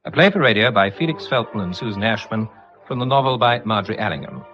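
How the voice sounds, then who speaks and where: A man's voice: fairly low pitch, expressive, fast, soft background music. A man with an English accent reading formally as an old-time radio announcer. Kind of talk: formal scripted credit reading, mid-century radio. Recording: dull, muffled vintage radio recording.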